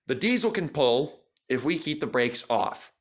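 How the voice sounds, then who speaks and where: A man's voice: mid-range voice, very animated, measured pace. Male reader as a level-headed train driver for a children's story, thoughtful and encouraging. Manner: considered, encouraging.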